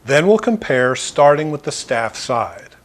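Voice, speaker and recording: Man, audio installer doing a scripted review. Clear, measured explainer with lively intonation. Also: on the high side. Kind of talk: scripted product review narration.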